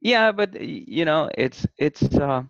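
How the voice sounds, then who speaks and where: A man's voice: a little high, lively intonation, unhurried, vocal fry, no room sound. A man from Rico, a podcast guest talking hesitantly with many fillers and repeated words. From Puerto Rico. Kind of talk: casual conversation. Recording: dull, dry lo-fi sound.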